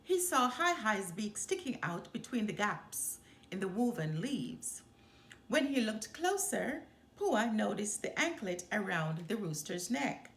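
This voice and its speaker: Slow, lively woman reading a storybook. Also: medium pitch, reverberant. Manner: expressive, deliberate read-aloud delivery. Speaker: storytime host reading a children's book aloud. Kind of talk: scripted storybook narration.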